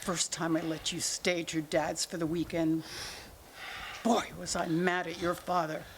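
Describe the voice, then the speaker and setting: A woman playing an exasperated, sharp-tongued older mother who scolds her grown children in a staged play reading. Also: lowish voice, little pitch movement, measured pace, slight background noise. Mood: disapproving.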